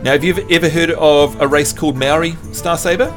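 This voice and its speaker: A man's voice: a little high, steady tone, fast, fairly bright tone, faint music behind. Chatty playful male game streamer. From New Zealand. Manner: chatty, playful, teasing chat quizzes. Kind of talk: casual live-stream chat with viewers.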